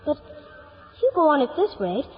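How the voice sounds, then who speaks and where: An eager, wide-eyed young boy speaks in a high, lively voice, polite and earnest. It is 1950s radio drama acting on a muffled, noisy old recording. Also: measured pace, faint music behind. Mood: polite, curious. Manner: earnest, breathless, questioning.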